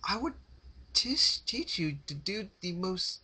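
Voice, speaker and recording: A man voicing a frightened, refined female cartoon pony with a raspy, breathy voice, stammering and gasping. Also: high voice, big pitch swings, slow, bright, forceful tone, pitched above the performer's own voice, full character voice. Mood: desperate pleading. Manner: stammering, gasping between words.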